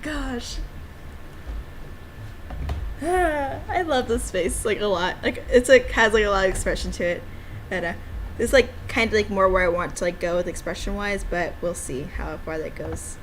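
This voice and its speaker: A woman with a mid-pitched voice chats casually as she streams her own game. She is enthusiastic and giggly, rambling between commentary and lines read aloud. The recording has clear background noise. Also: moderate pace. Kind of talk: casual livestream chat mixed with reading game dialogue aloud.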